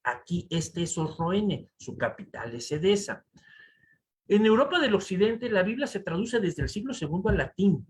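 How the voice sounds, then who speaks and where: A man with a Mexican accent giving a conference lecture over slides, animated and full of rhetorical asides to the audience. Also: sits high, normal speed. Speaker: conference speaker. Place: conference hall.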